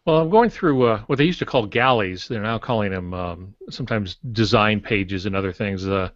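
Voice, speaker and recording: Male author guest, very low pitch, casual explanatory talk, muffled close sound without room echo. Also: normal speed. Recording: dull lo-fi online call audio.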